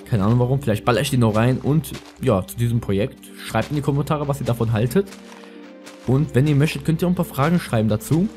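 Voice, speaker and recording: Young male let's player, lisping, soft-edged mellow tone, chatty slangy rambling, light music underneath. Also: medium pitch, quick pace. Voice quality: lisp. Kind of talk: casual gameplay commentary.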